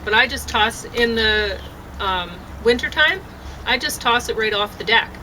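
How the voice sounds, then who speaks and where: A woman's voice: fairly high pitch, lively intonation, unhurried, background noise. A tired woman chatting casually in a rambling way, then reading her own poem aloud outdoors. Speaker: poet and blogger. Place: outdoor deck.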